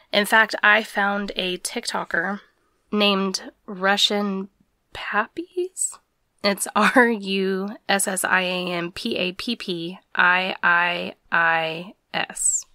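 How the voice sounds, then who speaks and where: Bright-voiced woman podcast host, dry sound. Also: mid-range voice, fairly even intonation, takes it slowly, no room sound. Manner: conversational, summarizing news in plain terms. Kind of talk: casual podcast news recap.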